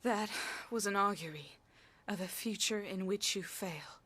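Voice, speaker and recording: An actress playing a grave elven fortune teller in a video game, speaking in a stately, portentous and formal manner. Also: female voice, mid-range voice, a little slow, fairly bright tone, slightly rough. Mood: grave, urgent. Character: solemn elven fortune teller, grave adult woman prophetess. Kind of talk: dramatic game cutscene acting.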